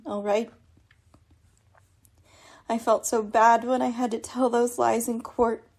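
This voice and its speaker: Woman acting troubled young daughter, high voice, anxious, hesitant, earnest, guilt-ridden, radio play. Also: little pitch movement, slow, darkish tone. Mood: remorseful. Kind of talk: old-time radio drama acting.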